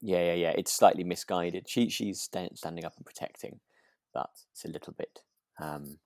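A British man co-hosting a relaxed podcast, chatting casually and hesitantly, repeating words as he thinks aloud. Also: low voice, moderate pace, no room sound, studio quality. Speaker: podcast co-host and actor.

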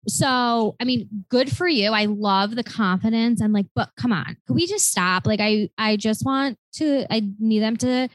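A woman in her late twenties co-hosting a podcast, telling stories in a chatty, animated way full of "like" fillers. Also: a little high, normal speed, hardly any pauses, no echo, low-quality recording. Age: 20s. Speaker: podcast co-host. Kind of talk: casual chat.

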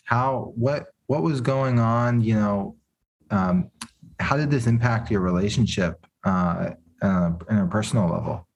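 A man hosting an interview, asking gentle, probing questions and often leaning on "you know" as he thinks aloud. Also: fairly low pitch, unhurried, vocal fry, low-quality recording. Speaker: podcast host.

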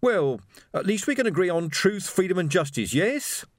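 A male reader plays a prim revolutionary organiser in a comic novel reading. The voice sits high and swings about eagerly, lecturing with insistent, easily wounded idealism. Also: sing-song, normal speed, no echo, clean studio sound. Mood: exasperated. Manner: preachy, insistent, easily offended.

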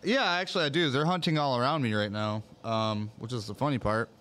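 A man's voice: mid-range voice, brisk, fairly bright tone. Laid-back young man hosting a chat. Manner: laid-back, swears casually, trails off with "um". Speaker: podcast host reading live comments. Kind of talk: casual chat.

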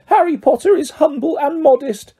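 Tiny squeaky house elf, super high swoopy voice, grovelling n earnest, storybook. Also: male voice, animated, normal speed, warm tone. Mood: reverent. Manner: speaks of self in third person, fawning. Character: small servile male house elf, earnest and tearfully grateful.

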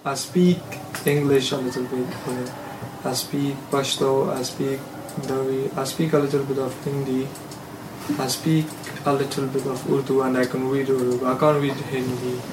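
A young man, a refugee student, slowly listing things in simple English in an interview. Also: medium pitch, little pitch movement. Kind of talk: interview answer. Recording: echoey room, noisy, mic far off.

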